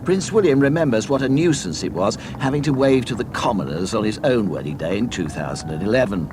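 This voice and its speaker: Low-voiced man narrating a read-along storybook. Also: lively intonation, brisk, noisy background.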